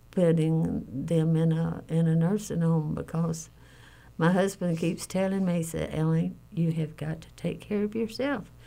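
A middle-aged woman recalling family caregiving in an interview, speaking plainly, haltingly and earnestly. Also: low voice, vocal fry, warm tone, low-quality recording. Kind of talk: personal interview recollection.

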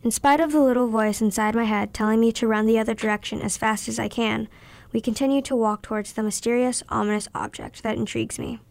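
A woman's voice: on the high side, fairly even intonation, fast talker, gravelly, darkish tone, no room sound. A young woman in her teens reading her own written story aloud as a scripted narration. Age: teenager.

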